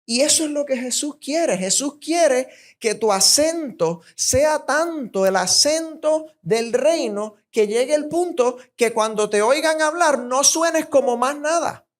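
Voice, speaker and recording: A man's voice: very high voice, lively intonation, slow pace. A man from Puerto Rico with a Puerto Rican accent, preaching a sermon with emphatic, rhythmic repetition that rises on key points. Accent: Puerto Rican Spanish.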